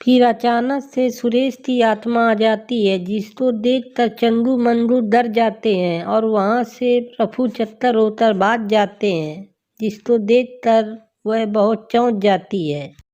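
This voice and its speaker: High-pitched, mellow woman storyteller. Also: slow, muffled recording. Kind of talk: scripted bedtime story narration.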